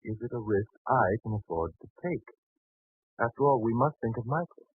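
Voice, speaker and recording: Merchant, male: careful, troubled, hesitant, slightly rough voice, dry tinny vintage radio sound. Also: mid-range voice, expressive, moderate pace, dry recording. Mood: anxious. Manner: hesitant, earnest. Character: cautious, worried male merchant and anxious father. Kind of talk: 1940s radio drama acting. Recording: tinny, band-limited 1940s radio broadcast.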